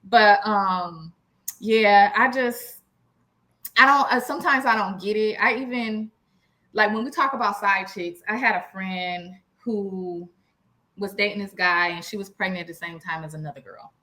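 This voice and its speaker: Bright, forceful American woman, frank podcast talk. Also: medium pitch, unhurried, low-quality recording. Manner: frank, slangy, emphatic. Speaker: podcast co-host. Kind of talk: casual relationship chat with anecdotes.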